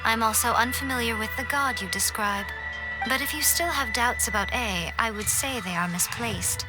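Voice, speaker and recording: A woman voicing a knowing, courteous divine fox familiar in an anime video game, speaking in a measured, formal, gently wry manner. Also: fairly high pitch, over loud music, natural voice, poor audio. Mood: wry, composed.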